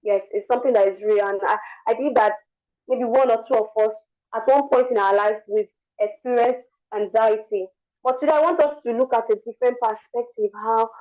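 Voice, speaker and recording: A young woman gives an earnest motivational talk in a worn, hoarse voice that sits a little high. She often repeats words and restarts her sentences as she goes. Her audio comes through dull and lo-fi, like a call. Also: warm tone. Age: young adult. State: lost her voice. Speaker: guest speaker.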